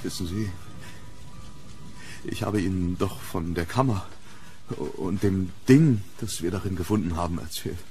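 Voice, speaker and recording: An actor playing a haunted gentleman archaeologist in a German horror radio drama, speaking formally about a dreadful curse. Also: low-pitched, unhurried, pauses often, faint music behind. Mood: grave, ominous.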